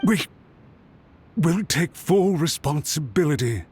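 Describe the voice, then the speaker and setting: A man's voice: on the high side, animated, slow, darkish tone, a little hiss or hum. An actor playing an anxious, apologetic male official who speaks with deference and pleads for a second chance, in a studio game. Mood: pleading. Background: music.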